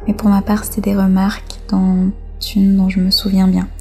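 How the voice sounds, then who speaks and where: A woman from France speaks as an interview guest, sharing personal testimony. Her voice is gravelly with fry and stays fairly even. She phrases things reflectively, with hesitant pauses, over faint music. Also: mid-range voice.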